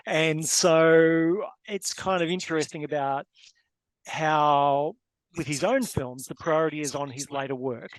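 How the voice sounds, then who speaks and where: A man's voice: fairly high pitch, measured pace, no echo, poor audio. A man moderating a webinar panel over a video call, asking long questions that wander and correct themselves. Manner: rambling, self-correcting questions. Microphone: video call microphone.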